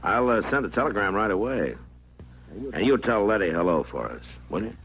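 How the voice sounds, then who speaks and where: An actor playing a steady, authoritative frontier marshal in a radio western, speaking plainly and with measured restraint in an American accent. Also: male voice, fairly low pitch, husky, heavily acted. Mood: cool, authoritative. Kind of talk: 1950s radio western acting. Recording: muffled, noisy old radio recording.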